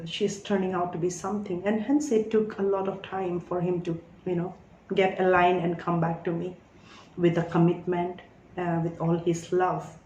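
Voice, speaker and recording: A woman's voice: lowish voice, level tone, moderate pace, darkish tone, muffled recording, a little hiss or hum. Emphatic woman in an echoey room. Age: 30s. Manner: emphatic, repeats "all right?" to the listener. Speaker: tarot reader. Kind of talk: spiritual monologue.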